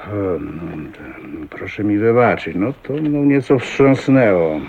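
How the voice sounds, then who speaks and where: An actor in a radio drama playing a talkative, blunt, jovial old self-made millionaire with a husky voice and a folksy manner. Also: male voice, lowish voice, expressive, takes it slowly, darkish tone, slight background noise. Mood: surprised, frank. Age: older. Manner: garrulous, folksy, blunt, with chuckles and exclamations. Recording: muffled thin narrow-band radio recording.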